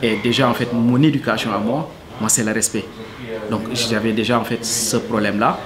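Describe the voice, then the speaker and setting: A man's voice: mid-range voice, little pitch movement, normal speed, crowd noise. A man from Senegal, answering interview questions earnestly and hesitantly, full of fillers. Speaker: cook, interviewee.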